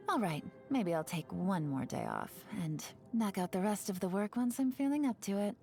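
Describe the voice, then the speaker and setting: A sly young woman spy in a video game, with a fairly low, mellow voice. She speaks in an unhurried, teasing, secretive manner that slips into breathy asides, with music behind her. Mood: lazy, drowsy. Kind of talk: video game character voice lines.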